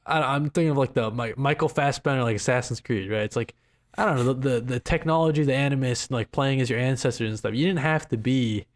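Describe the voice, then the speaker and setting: A man's voice: mid-range voice, fast talker. A man co-hosting a podcast in casual chat, rambling and slangy, with a self-deprecating streak. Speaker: podcast co-host.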